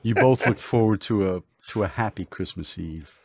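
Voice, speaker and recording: An actor playing a calm, kindly, mysterious doctor in an old-time radio drama, speaking gently and reassuringly. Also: male voice, on the low side, normal speed, vocal fry, slightly rough, no echo.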